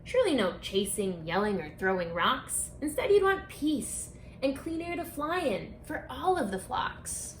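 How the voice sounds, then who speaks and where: A woman reading a rhyming picture book aloud for children, speaking expressively and deliberately, straight to her young listeners. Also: high voice, sing-song. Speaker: children's book author and read-aloud presenter. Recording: echoey room with faint background noise.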